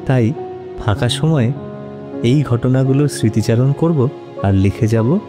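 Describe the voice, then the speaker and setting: An actor playing a kindly retired grandfather who tells stories of his past in an indulgent, unhurried manner for an animated series. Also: medium pitch, lightly acted. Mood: reflective. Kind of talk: animated audio story narration. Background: background music.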